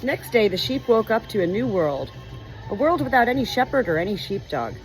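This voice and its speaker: A woman reading a story aloud as an audiobook narrator, expressive and measured, with deliberate pauses. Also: fairly high pitch, soft background music, poor audio. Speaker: audiobook reader.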